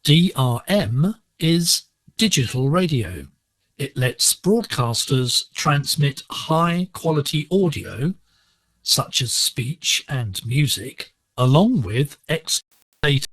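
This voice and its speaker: Male radio teacher, slow pace, lively intonation, slightly husky sound, scripted and didactic, dry acoustic. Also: fairly high pitch, no echo, rough recording. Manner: measured, didactic. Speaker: educational radio presenter. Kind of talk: scripted lesson narration. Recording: digital shortwave radio broadcast, slightly rough.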